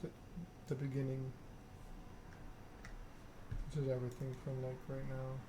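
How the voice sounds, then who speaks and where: Soft-spoken man with little pitch movement, noisy background. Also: completely flat delivery, measured pace. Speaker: game streamer, host. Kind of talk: soft-spoken ASMR reading and stream chat.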